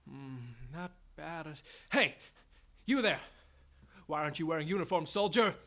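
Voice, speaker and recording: A man's voice: a little high, moderate pace. A man playing a grumbling, blustering soldier who scares easily, in an audio drama. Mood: bossy. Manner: excitable, blustering. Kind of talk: fan audio drama acting.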